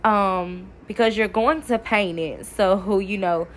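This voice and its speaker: A woman's voice: medium pitch, slow. Woman, slightly stuffy cold voice, friendly how-to chatter, light background noise. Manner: chatty, addresses viewers as y'all. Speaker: craft video creator. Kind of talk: casual DIY tutorial chat.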